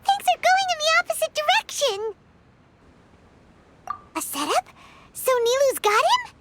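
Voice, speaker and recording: A woman's voice: very high voice, sing-song, measured pace, fairly bright tone, full character voice. A woman voicing a small, eager, childlike loyal friend in cartoonish game dialogue. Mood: worried. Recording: very noisy background.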